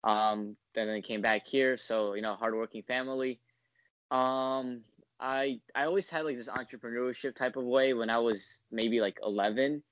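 A man's voice: mid-range voice, steady tone, moderate pace, fairly bright tone, smooth, no room sound, muffled recording. A man in his twenties, a guest in a casual interview, talking in a rambling way full of ums and likes. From United States. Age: 20s.

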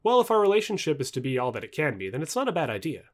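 A man's voice: on the high side, very animated, very fast. A man voicing an earnest, reassuring male artificial intelligence from the future in a dialogue reading. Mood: devoted.

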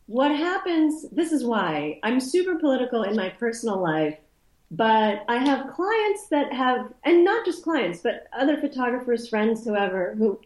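A woman's voice: fairly high pitch, darkish tone, roomy sound, low-quality recording. A woman who grew up speaking Polish, talking as an interview guest in a loose, thoughtful way, often restarting her sentences. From Poland. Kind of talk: casual conversational interview.

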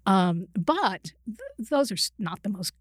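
A woman's voice: medium pitch, big pitch swings, a little slow, no room sound, studio quality. A woman explaining things informally as an expert interview guest, often breaking off and rephrasing mid-sentence. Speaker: interview guest, psychiatrist and writer.

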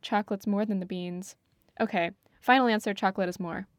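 A woman's voice: mid-range voice, fairly even intonation, brisk, dry recording, studio quality. A woman on a podcast panel, chatting casually and thinking aloud.

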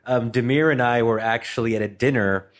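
A man's voice: medium pitch, fairly quick. A man co-hosting a podcast, telling a story in a rambling, casual way with plenty of fillers. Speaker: podcast co-host. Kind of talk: casual discussion.

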